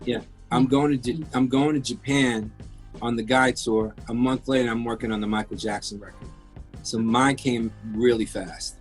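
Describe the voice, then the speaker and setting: A man's voice: medium pitch, steady tone, moderate pace, soft background music. A man in his fifties, born in France, telling his career story as a guest in a casual interview, candid and rambling with many restarts. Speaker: guest, music engineer.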